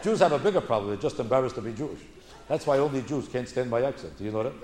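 A man's voice: medium pitch, expressive, racing, a little mellow, a faint crowd. An American man performing stand-up comedy in an indignant, emphatic ranting style, repeating phrases for punch. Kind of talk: stand-up comedy routine, ranting observational bits. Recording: echoey live room, clearly noisy recording.

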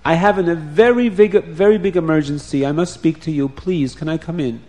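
A woman's voice: deep voice, a little fast, darkish tone, close to an everyday voice. A storyteller voicing an elderly grandmother who pleads urgently for answers. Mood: pleading.

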